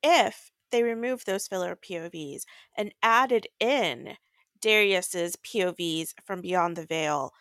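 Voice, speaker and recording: A woman's voice: on the low side, slow, fairly bright tone, dry recording. Casual woman podcaster, dry close sound. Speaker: co-host of a book recap podcast. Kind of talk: casual book chat.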